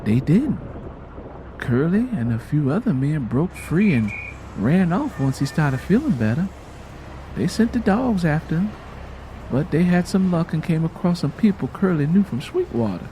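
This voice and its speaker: A man's voice: fairly high pitch, very animated, normal speed, dark tone, slight background noise, low-quality recording. A man voicing a sorrowful mother who recounts hard family history in a plainspoken, reflective, folksy way. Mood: matter-of-fact.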